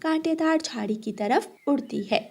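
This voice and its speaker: High-voiced woman narrating a children's story. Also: moderate pace, a little mellow.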